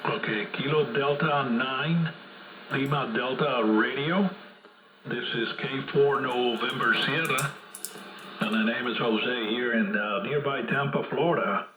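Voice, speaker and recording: A man working as a ham radio operator with measured procedural phrasing, heard over AM radio. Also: mid-range voice. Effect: AM shortwave radio. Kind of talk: radio calling and casual exchange. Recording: noisy, echoey AM radio signal.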